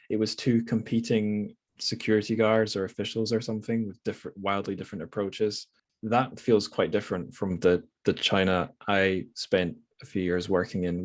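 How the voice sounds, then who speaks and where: A man hosting a casual book discussion, hesitant and often rambling as he corrects himself. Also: fairly low pitch. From Scotland. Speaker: podcast host.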